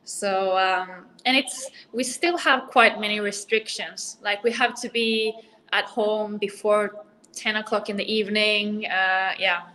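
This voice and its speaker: Woman from Norway, thirties, bright, easygoing, video call. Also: mid-range voice, steady tone, measured pace, a little hiss or hum, rough recording. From Norway, raised in Spain. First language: Spanish or Norwegian. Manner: laughs often, friendly and easygoing. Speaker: boxer, interview guest. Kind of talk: casual video-call interview. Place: bright room indoors. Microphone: laptop webcam on video call.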